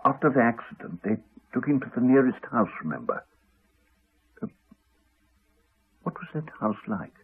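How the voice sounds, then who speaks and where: An actor playing an earnest, wry, reflective doctor in a 1980s radio drama. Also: male voice, medium pitch, sing-song, normal speed, long pauses, husky. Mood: gentle, probing. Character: earnest male doctor, reassuring, wry, later weary and dying. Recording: muffled, narrow-band 1980s radio broadcast.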